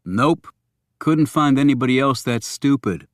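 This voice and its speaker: A man's voice: mid-range voice, animated, a little slow, dry recording, studio quality, close to an everyday voice. A man voicing a blunt federal agent who talks firmly, in a thriller audiobook. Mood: dry. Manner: blunt, firm. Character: blunt male federal agent giving stern advice.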